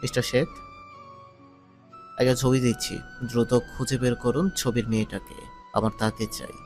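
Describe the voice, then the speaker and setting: A man's voice: medium pitch, level tone, normal speed, a little mellow, close to an everyday voice. A male actor playing a cool, demanding, sardonic young man in an audio drama, music underneath. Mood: commanding.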